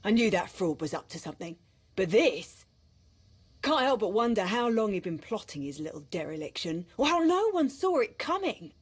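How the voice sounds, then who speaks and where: A woman's voice: mid-range voice, very animated, moderate pace, breathy edge. A woman playing a weary, sardonic military officer in a video game cutscene, grumbling in an informal way. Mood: exasperated.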